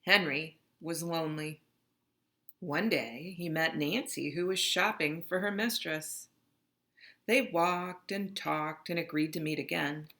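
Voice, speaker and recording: Bright-voiced woman reading a storybook slowly. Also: deep voice. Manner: expressive, dramatic reading. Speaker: storybook reader. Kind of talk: read-aloud narration of a children's picture book.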